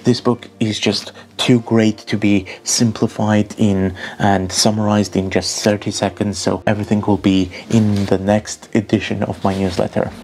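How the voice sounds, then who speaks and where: Lowish-voiced man. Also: little pitch movement, moderate pace, few pauses, darkish tone. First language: Armenian. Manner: earnest, enthusiastic, careful phrasing with occasional fillers. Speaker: book channel host. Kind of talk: casual book haul talk to camera.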